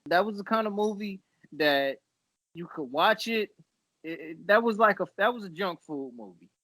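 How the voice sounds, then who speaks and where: Higher-pitched man, casual podcast host, dry sound. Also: expressive, moderate pace, a bit bright, no room sound, rough recording. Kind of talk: casual chat about films and games.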